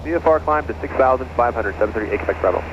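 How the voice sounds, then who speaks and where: A man's voice: medium pitch, animated, very fast. A man, a student pilot, making clipped calls and readbacks over aircraft radio. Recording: muffled cockpit radio audio, loud aircraft noise.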